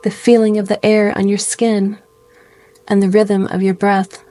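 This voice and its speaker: A woman guiding a meditation in a gentle, soothing way, speaking in slow phrases with pauses. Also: medium pitch, level tone, faint music behind. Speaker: meditation guide. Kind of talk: guided meditation narration.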